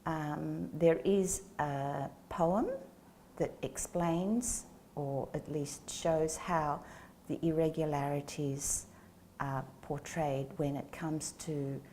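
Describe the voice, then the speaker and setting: A woman in her sixties answers interview questions slowly and with care. Her voice sits a little low, and it is picked up on a lapel mic in a small studio with a faint hiss behind it. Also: fairly even intonation, warm tone. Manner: slow, measured explaining. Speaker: guest, educator. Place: small studio set with plants.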